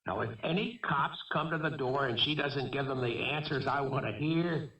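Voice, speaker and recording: An actor playing a wounded fugitive gunman in a 1970s radio drama, jittery, bitter and wistful, speaking in halting, agitated bursts. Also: medium pitch, sharp tone, lightly acted. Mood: menacing, on edge. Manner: halting, agitated, repeating himself. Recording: dull, echoey vintage radio recording, distant mic.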